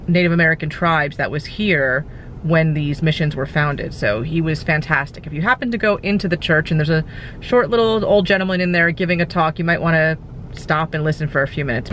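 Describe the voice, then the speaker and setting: A woman's voice: sits low, fairly bright tone, a little hiss or hum. A woman in her forties recapping a sightseeing visit in a chatty, informative way, talking to a handheld camera inside a car. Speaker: travel vlogger. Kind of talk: casual travel vlog to camera. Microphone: camera held at arm's length.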